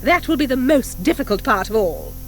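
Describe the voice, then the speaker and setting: Strict witch-school form mistress for a children's audiobook, played by a woman: high, commanding, crisp, with swooping emphasis. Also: sing-song, fairly quick, faint music behind. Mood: brisk, strict. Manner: crisp, commanding, precise, piercing. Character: stern, formidable, brisk schoolmistress at a witches' academy. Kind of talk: audiobook character acting. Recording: 1984 audiobook from cassette.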